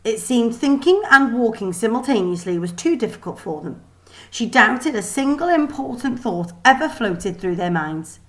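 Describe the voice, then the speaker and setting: A woman reading a children's story aloud in an expressive, deliberate way with dramatic emphasis. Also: fairly high pitch, poor audio. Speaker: teacher reading a book aloud.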